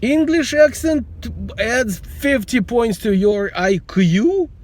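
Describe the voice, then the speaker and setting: A man's voice: very high voice, lively intonation, slow pace, darkish tone. A middle-aged man with a Russian accent, a vlogger joking dryly and rambling in a casual monologue while driving his car. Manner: dry joking, rambling with restarts.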